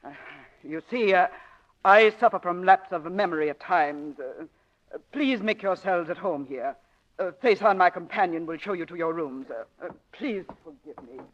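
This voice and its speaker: A man acts out an abrupt, absent-minded wealthy matron in a vintage radio drama. The voice is pitched well up for a man, curt and haughty. The recording is dull and lo-fi. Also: animated, a bit bright. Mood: flustered, halting. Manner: curt, imperious, halting when caught out. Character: abrupt, forgetful wealthy woman, mistress of an estate. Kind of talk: 1940s radio drama acting.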